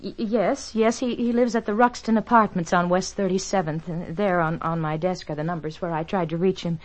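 A woman's voice: on the low side, fairly even intonation, fairly quick, lightly acted. A woman playing a fluttery, flustered wife. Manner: flustered, stammering. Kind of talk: 1950s radio drama acting. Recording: dull old radio recording.

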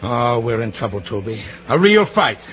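An actor playing a blustering, bossy Southern populist politician in a folksy accent, in an old radio drama. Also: male voice, medium pitch, sing-song, normal speed, a bit bright. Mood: angry, barking. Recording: muffled, noisy old radio broadcast.

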